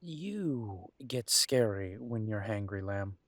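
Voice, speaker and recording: A man's voice: fairly low pitch, lively intonation, takes it slowly, a bit bright, no echo. A man voicing a grumbling but affectionate werewolf detective in an intimate audio roleplay, wry and fondly teasing. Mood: soft, teasing. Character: gruff, affectionate male werewolf detective, grumbling city dweller.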